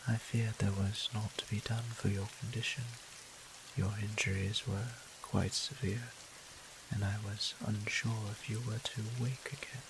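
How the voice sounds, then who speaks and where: A man playing a gentle, doting vampire priest and healer in a roleplay audio drama, speaking softly in formal, old-fashioned, courteous phrasing. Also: fairly low pitch, flat delivery, measured pace, background noise. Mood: concerned, gentle. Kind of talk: scripted roleplay audio drama, addressing the listener.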